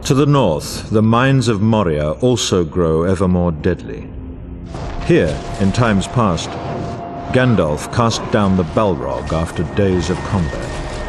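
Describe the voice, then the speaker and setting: Casting: low-voiced, solemn fantasy game narrator, grand archaic phrasing, widely varied intonation, music underneath. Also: male voice. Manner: grave, dramatic, archaic formal delivery. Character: solemn wise war narrator of an epic fantasy saga. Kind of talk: epic fantasy video game cutscene narration.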